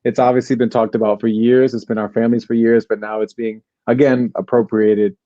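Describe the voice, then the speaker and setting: A male podcast host with a mellow, relaxed tone talks in a chatty, rambling way. The audio is dull and lo-fi, with a dry, close sound. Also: medium pitch, fairly quick, no echo. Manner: easygoing, chatty, rambling questions. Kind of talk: casual podcast conversation.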